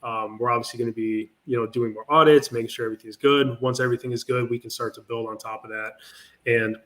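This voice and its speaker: A man's voice: medium pitch, fairly even intonation, fast, fairly bright tone. A man speaking for his project in a casual interview over a video call, confident and promotional, with frequent fillers. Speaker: project team guest.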